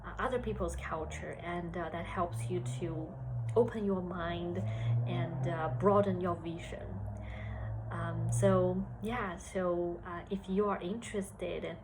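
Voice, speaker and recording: A woman in her thirties from China with a Chinese accent, giving career advice at a measured pace with frequent pauses and fillers. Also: lowish voice, fairly even intonation, noisy background. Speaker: senior HR analyst. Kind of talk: career advice talk to students.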